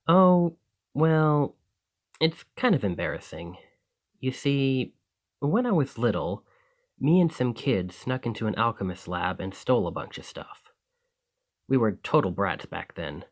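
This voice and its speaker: Man acting as a friendly male alchemist, lowish voice, earnest, bashful, gushing, conversational ASMR roleplay. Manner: conversational, enthusiastic, hesitant when embarrassed.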